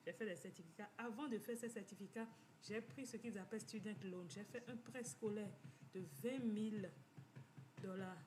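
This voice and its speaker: A woman telling her own story in a halting way, with many restarts and repeated phrases. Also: medium pitch, dark tone, slight background noise. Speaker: community finance speaker. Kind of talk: personal testimony talk. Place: living in the United States.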